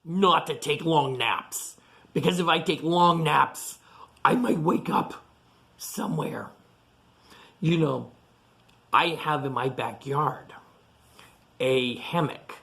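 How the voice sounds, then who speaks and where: A woman reading a picture book aloud to children, chatting to them in animated asides and questions. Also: sits low, takes it slowly, gravelly, long pauses. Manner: animated asides to young listeners, asking questions. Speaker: storytime reader.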